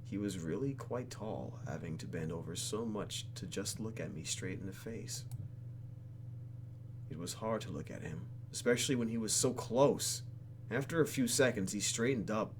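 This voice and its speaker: A man's voice: lowish voice, moderate pace. A man hosting a gaming video, reading game text aloud and adding theatrical, jokey asides, with some noise behind him.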